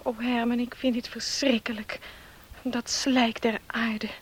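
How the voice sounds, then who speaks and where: A woman playing a gentle, pious young fiancée in a Dutch radio play, earnest and pleading, with a breathy voice. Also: on the high side, flat delivery, unhurried.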